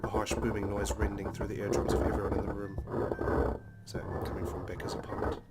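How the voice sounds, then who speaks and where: A man doing a Let's Play, dramatically reading visual novel narration in a serious, measured storytelling manner. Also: lowish voice, little pitch movement, heavy background noise. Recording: loud music behind the voice. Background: game soundtrack music.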